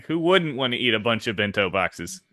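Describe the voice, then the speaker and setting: A man's voice: mid-range voice, very animated, fairly quick, sharp tone, no room sound, clean studio sound. A man co-hosting a podcast in casual chat, talking with enthusiastic emphasis. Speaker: podcast co-host.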